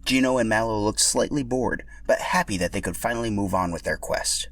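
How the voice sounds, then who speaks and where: A man narrating a scripted story for a podcast in an animated, expressive way. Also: lowish voice, fairly quick, creaky, no room sound.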